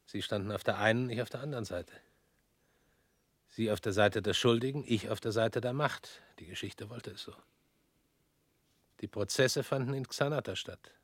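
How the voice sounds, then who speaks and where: A weary, husky former prosecutor. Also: male voice, fairly low pitch, no room sound. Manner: measured, drops to near whispers. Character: former prosecutor, cool, weary, probing man. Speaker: actor in radio drama. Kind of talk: radio play acting.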